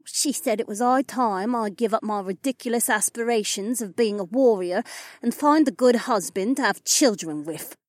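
A woman's voice: mid-range voice, expressive, normal speed, no room sound, studio quality, lightly acted. A woman voicing a proud, wounded elf noblewoman and sword warrior in an audiobook. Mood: scornful. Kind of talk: audiobook character dialogue.

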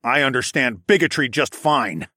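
Man acting a defiant, sardonic hero, animated delivery. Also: medium pitch, a little fast, dry recording, clean studio sound, pitched above the performer's own voice, lightly acted. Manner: snarling, mocking, argumentative. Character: defiant, sardonic male hero, fiercely protective foreigner.

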